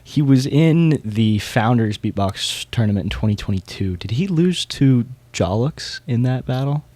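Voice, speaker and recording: A man's voice: medium pitch, expressive, a little slow, warm tone, no room sound. A man co-hosting a podcast, giving casual hobbyist commentary in a conversational way. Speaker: podcast co-host.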